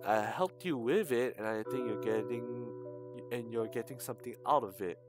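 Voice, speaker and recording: A man's voice: medium pitch, moderate pace, over loud music. Man streaming a game, slangy delivery. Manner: slangy, often stumbles while reading. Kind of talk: live let's-play, reading visual novel dialogue aloud with slangy commentary.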